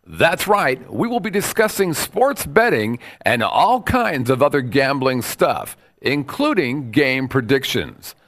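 A man's voice: medium pitch, expressive, slow pace, hardly any pauses, a bit bright. A man reading a scripted disclaimer at the start of a show in a breezy, folksy way. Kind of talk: scripted announcement.

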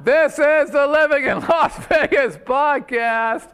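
A man's voice: very high-pitched, slow pace, a bit bright, voice pushed up, heavily acted. A man putting on a silly Russian accent as a boisterous podcast host. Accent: put-on Russian.

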